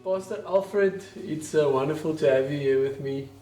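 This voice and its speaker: A man's voice: fairly high pitch, moderate pace, dark tone, echoey room, faint music behind. A man hosting a home interview, asking questions in a hesitant, searching way. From South Africa. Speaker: host. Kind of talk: casual interview. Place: his own house.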